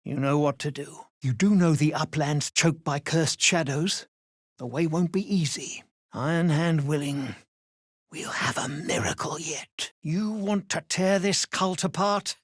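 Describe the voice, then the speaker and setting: An actor voicing a weary but grateful deep gnome rebel in a video game, with an earnest, measured way of talking. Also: dry recording, studio quality, natural voice. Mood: conspiratorial, guarded. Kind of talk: video game character dialogue.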